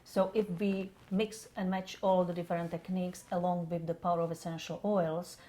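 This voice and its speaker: A woman's voice: on the low side, little pitch movement, moderate pace, warm tone. A middle-aged woman with a Czech accent, talking conversationally as an interview guest about her practice. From Czech Republic.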